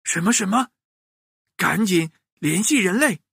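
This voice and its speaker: A man voicing an excitable chicken king in a children's story, exclaiming in a raised, urgent voice. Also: high voice, unhurried, creaky, slightly rough, dry recording, clean studio sound, voice pushed up, full character voice. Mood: alarmed.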